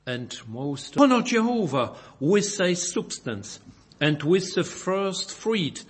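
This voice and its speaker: Slow, husky Belgian French preacher. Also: male voice, sits high, big pitch swings. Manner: halting, searching for English words, stumbling and correcting himself. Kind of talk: informal Bible sermon with scripture reading.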